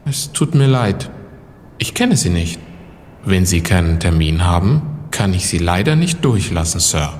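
A man's voice: low voice, very animated, a little slow, a little mellow. An actor playing a polite, formal doorman and gatekeeper in a German audio drama. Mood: polite, puzzled. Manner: courteous, formal. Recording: echoing hall, background noise.

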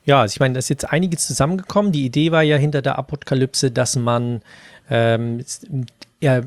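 A man hosts a podcast in a casual, conversational way. His voice sits at a medium pitch. The sound is dry, with hardly any room in it. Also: fairly even intonation, fairly quick, no room sound. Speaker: podcast host.

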